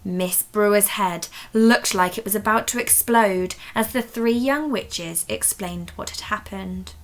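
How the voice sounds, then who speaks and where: A woman reading a children's story aloud, expressive and dramatic, speaking directly to young listeners. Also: mid-range voice, a little slow. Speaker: story reader at a primary school.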